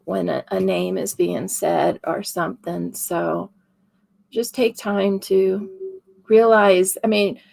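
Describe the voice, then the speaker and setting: Raspy female co-host, unhurried and gravelly, easy laugh, casual paranormal chat. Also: medium pitch, fairly even intonation, a little mellow.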